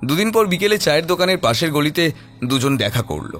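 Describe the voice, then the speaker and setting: A man's voice: fairly high pitch, very animated, fast talker, a bit bright. A man narrating a scripted horror audio story. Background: faint music.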